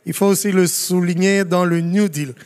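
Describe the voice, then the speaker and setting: A man's voice: high voice, steady tone, normal speed, darkish tone. A man moderating a conference panel in a formal, ceremonious register. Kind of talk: formal live event moderation. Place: large conference hall. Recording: reverberant conference hall.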